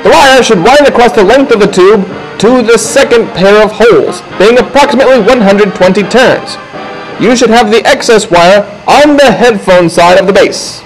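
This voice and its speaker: A man narrating a scripted how-to film, giving step-by-step instructions in a deliberate, folksy way. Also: high voice, poor audio. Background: instrumental music.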